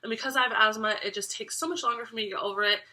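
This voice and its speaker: Congested bright-voiced young woman vlogger. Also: on the high side, steady tone, very fast. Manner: chatty, lots of ums and restarts. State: congested from a cold. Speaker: parenting vlogger. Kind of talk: casual chat to camera.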